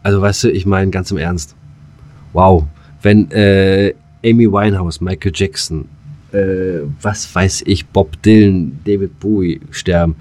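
A man's voice: low-pitched, steady tone, a little slow, darkish tone, no room sound. A man co-hosting a podcast in casual, blunt, slangy banter that tips into ranting. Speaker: podcast co-host.

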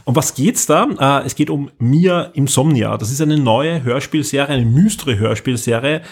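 A man with a slightly husky voice, hosting a casual podcast chat in a hesitant, conversational way. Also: medium pitch, normal speed. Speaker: podcast host. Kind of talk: casual podcast chat about radio plays.

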